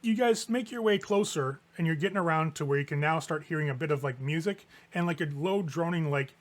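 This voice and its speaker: Male game master, higher register, conversational horror narration for a tabletop game. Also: fairly quick. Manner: casual, conversational improvised narration with swearing.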